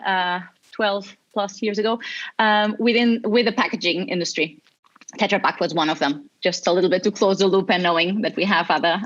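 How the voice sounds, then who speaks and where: A woman from Portugal, a marketing manager, introducing herself on a panel with many pauses and fillers. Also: medium pitch, a bit bright, lo-fi sound.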